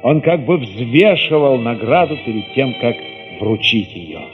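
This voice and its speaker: A man narrating an audio drama in the first person, expressive and solemn, with weighty pauses. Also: medium pitch, big-room sound, narrow, tinny audio, music in the background.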